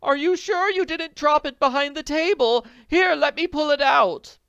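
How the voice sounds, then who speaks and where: A reader voicing an excitable woman in a storybook who keeps crying out in alarm. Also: mid-range voice, moderate pace, smooth, no echo, dull audio, voice pushed up, close to an everyday voice. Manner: exclamatory, cries out. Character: excitable woman, the worried lady's friend.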